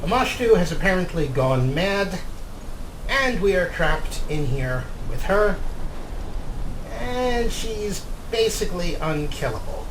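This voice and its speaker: Lively higher-voiced man commenting on a game. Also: slow. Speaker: host narrating a game playthrough. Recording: clear background noise and game ambience.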